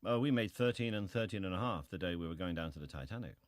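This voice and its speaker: A ship's captain on the witness stand, with a low voice recorded dry. He is clipped, restrained and matter-of-fact, in the style of dramatized testimony. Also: lively intonation, fast, no echo. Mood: terse, restrained. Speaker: actor reading hearing testimony. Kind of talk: dramatized reading of historical testimony.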